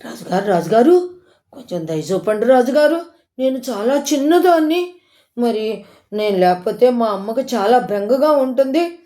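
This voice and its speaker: A woman's voice: on the high side, lively intonation, moderate pace, warm tone, lightly acted. A woman voicing a tiny, polite young female mouse in a coaxing, eager storybook manner. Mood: pleading. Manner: coaxing, cutesy, repeatedly deferential.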